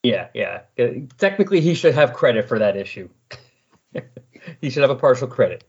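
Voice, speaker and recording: A man hosts a podcast with a lively, swinging intonation. His voice has a slightly husky grain, and he sits at a middle pitch. The delivery suits an informal hobby show. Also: normal speed, dull audio. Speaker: podcast host. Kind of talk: casual comics podcast talk with read synopsis.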